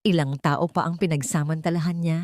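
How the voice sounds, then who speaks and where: A woman's voice: deep voice, steady tone, a little fast, a little mellow, no echo, clean studio sound. A woman narrating a dramatic first-person story as an audiobook.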